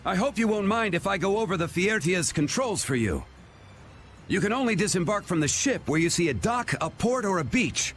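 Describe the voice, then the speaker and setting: Mild seaman, high voice, animated intonation, courteous, explanatory, game dialogue. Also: normal speed, slight background noise. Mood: helpful, polite. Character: polite, helpful ship's pilot, mild-mannered seaman. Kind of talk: video game dub acting, instructional.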